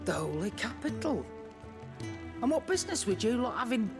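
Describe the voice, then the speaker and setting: A salty market trader in a fantasy game, blunt and grumbly, croaky and very lively pitch, music behind. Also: normal speed. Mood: brusque, nosy. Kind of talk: video game dramatic acting.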